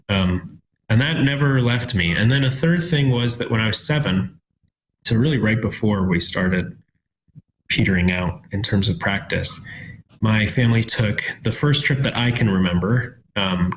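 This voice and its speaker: A lower-voiced man in his thirties gives a reflective testimony as a talk-show guest. He pauses often and searches for his words. A faint hiss of background noise sits under his voice. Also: rough recording. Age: 30s. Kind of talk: sharing a personal faith testimony.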